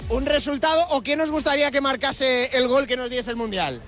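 A man with an extremely high voice reports live among football fans. He talks fast, chatty and full of short questions, over music outdoors. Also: fairly bright tone. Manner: chatty, quick-fire questioning. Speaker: roving TV reporter. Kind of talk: live vox pop interviews with fans. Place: outside a stadium.

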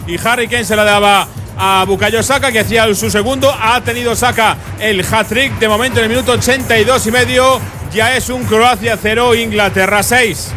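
A man reporting a football match result on the radio, briskly and informatively. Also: sits high, steady tone, sharp tone, faint music behind, some chatter behind. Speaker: sports reporter.

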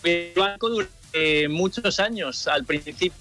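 A man's voice: sits high, unhurried, bright, forceful tone. A young man from Madrid with a Castilian accent, speaking as a guest on a remote call. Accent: Castilian Spanish. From Madrid, Spain. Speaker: guest, sailor. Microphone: phone or internet call. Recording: remote call with faint music.

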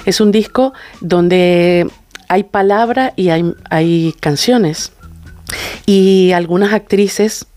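A middle-aged woman, telling stories conversationally as an interview guest in an effusive, animated way and acting out the dialogue. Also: fairly low pitch, takes it slowly, no room sound, faint music behind. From Venezuela. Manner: effusive, acts out quoted dialogue in anecdotes. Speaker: guest, singer and vocal coach.

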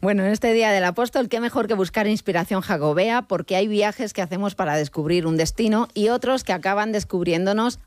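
A woman's voice: medium pitch, fairly quick, hardly any pauses, dry recording, clean studio sound. A woman hosting a radio travel program, introducing guests and asking friendly questions. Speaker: radio host. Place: studio.

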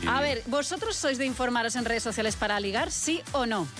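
A woman's voice: a little high, lively intonation, fairly quick, over music. A woman hosting a radio talk show, putting teasing questions to her guests and laughing often. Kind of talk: lively radio chat.

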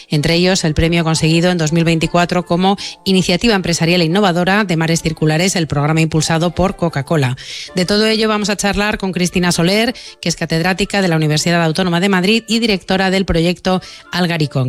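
A male radio host with a Spanish accent from Spain speaks at a rapid clip in a high-set voice. He reads introductions and puts questions in broadcast style, with music behind him. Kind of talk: scripted broadcast introduction and interview.

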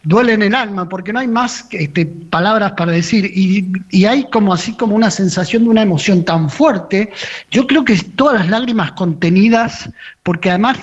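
A man's voice: high voice, normal speed, highs cut off. A man with an Argentine accent reporting for a radio show as correspondent, speaking fluently and with feeling, using fillers like "este". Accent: Argentine (Río de la Plata). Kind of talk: sports commentary on radio.

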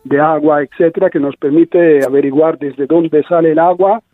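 A man's voice: on the high side, fairly even intonation, normal speed, no echo. A man with an Italian accent, a guest scientist hesitantly explaining research in a radio interview, searching for words. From Italy. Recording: tinny phone-like line.

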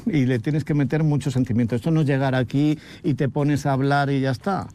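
A man's voice: medium pitch, steady tone, a little fast, dark tone, slight background noise. A man giving an informal dubbing lesson in a plain-spoken, instructive way.

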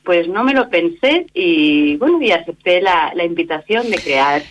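A woman's voice: fairly low pitch, big pitch swings, measured pace. A woman from Barcelona, a social educator, explaining at length with many fillers and tag questions. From Barcelona, Spain. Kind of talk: radio interview.

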